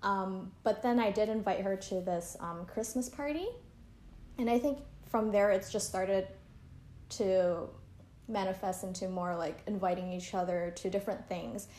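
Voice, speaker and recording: A woman's voice: medium pitch, moderate pace, darkish tone. A young woman from Canada chatting in an interview, talking loosely with lots of fillers and likes. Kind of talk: casual interview chat. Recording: echoey room.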